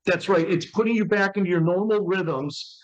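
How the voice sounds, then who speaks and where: Man, fifties, high-pitched voice, emphatic motivational talker, conversational interviewer, dull muffled call audio. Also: normal speed. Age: 50s. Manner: emphatic, motivational, repeats points. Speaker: interviewer and wellness product promoter. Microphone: remote call.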